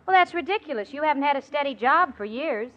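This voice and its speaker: An actress playing a sensible, sarcastic, exasperated young American woman, brisk and wry, in a 1940s radio comedy. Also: sits high, animated, fairly bright tone. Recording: muffled noisy old radio recording.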